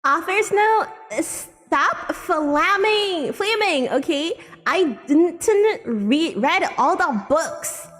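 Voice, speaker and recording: A woman's voice: extremely high pitch, expressive, very slow, few pauses, a bit bright. A woman streamer giving a theatrical, amused live dramatic reading of fan fiction. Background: faint music.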